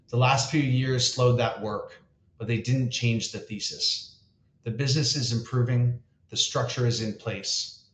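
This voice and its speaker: A man speaking as a company founder and chief executive, reading prepared earnings call remarks in a formal, measured way. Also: mid-range voice. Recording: echoey, roomy sound.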